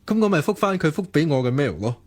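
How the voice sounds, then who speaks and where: Earnest, lovelorn young Hong Kong student. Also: male voice, fairly high pitch, sing-song, fast, a little mellow. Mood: self-mocking. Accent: Hong Kong Cantonese. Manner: sighing, wry, self-mocking. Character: lovelorn, earnest young male student programmer, self-deprecating. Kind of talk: Cantonese radio drama dialogue.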